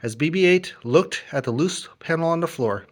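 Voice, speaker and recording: A man narrating a children's story as an expressive read-along. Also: a little high, normal speed. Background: music and sound effects.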